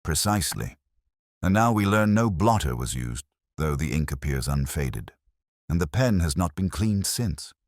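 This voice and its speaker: A man voicing a keen, analytical Victorian gentleman detective in a story, precise and probing in manner. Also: rumbling low, lively intonation, normal speed, no room sound, clean studio sound.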